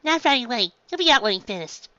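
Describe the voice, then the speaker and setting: A woman voicing a small, excitable, matter-of-fact reindeer doctor from a cartoon, in a fan fiction reading. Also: on the high side, quick pace, no room sound, lo-fi sound, pitched above the performer's own voice. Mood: brisk, relieved.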